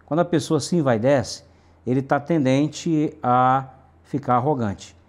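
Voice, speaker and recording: A man's voice: mid-range voice, unhurried. A middle-aged man from Brazil's Northeast, a city councillor answering interview questions emphatically with lists and repetition.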